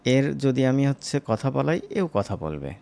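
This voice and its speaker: A man teaches software in a casual, informal way, speaking directly to viewers as a tutor. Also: medium pitch, sing-song, unhurried, gravelly. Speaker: animation tutor.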